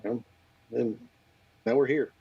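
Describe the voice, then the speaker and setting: A man's voice: mid-range voice, fairly even intonation, measured pace, creaky, mellow, slightly rough. A man chatting casually as a guest breeder, telling his story over muffled audio. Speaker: guest, exotic animal breeder.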